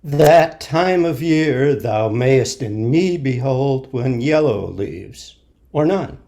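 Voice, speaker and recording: Mellow, slow man reciting a sonnet. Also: medium pitch, expressive. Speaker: poetry reader at a congregation service.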